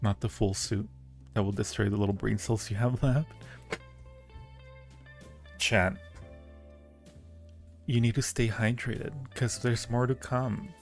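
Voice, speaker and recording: A man's voice: lowish voice, steady tone, slow pace, pauses often, darkish tone, soft background music. A man hosting a live-stream Q&A, answering viewer questions casually with a playful, teasing and flirty manner. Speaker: audio roleplay creator hosting a live Q&A.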